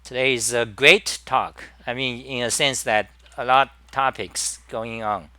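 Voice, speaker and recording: A man with a Chinese accent hosting a live-stream talk, speaking in halting bursts with many restarts and repeated words. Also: medium pitch, steady tone, sharp tone, no echo. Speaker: host.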